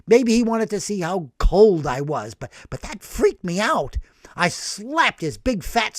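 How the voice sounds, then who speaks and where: A man voicing a brash, excitable older working-class man who tells his story in animated slang. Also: sits high, dry recording, clean studio sound, pitched above the performer's own voice, heavily acted.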